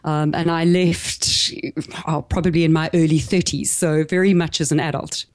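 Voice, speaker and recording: A woman in her forties with a South African accent gives an interview, talking about her path in an articulate, reflective way. Her voice sits low for a woman. The sound is dry and close, with no room echo. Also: steady tone, normal speed. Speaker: podcast guest, business coach. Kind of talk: interview conversation.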